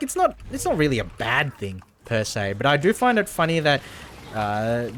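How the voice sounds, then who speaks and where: A man with a fairly high voice chats casually over gameplay as a streamer. His pitch moves around a great deal, and he rambles along, often saying a phrase twice. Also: a bit bright, a little hiss or hum. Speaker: game livestreamer. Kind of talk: casual gameplay commentary and chat.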